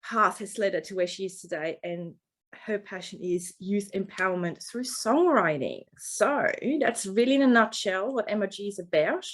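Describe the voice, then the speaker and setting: A woman's voice: mid-range voice, lively intonation, moderate pace. A woman hosting a podcast, introducing her guest in an enthusiastic way with many ums. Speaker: podcast host. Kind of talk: casual interview chat.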